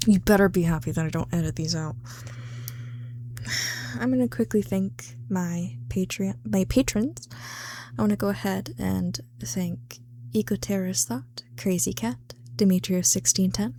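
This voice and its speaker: A woman ASMR creator in a casual, unscripted chat, flustered and self-deprecating, often dropping into soft, breathy tones. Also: mid-range voice.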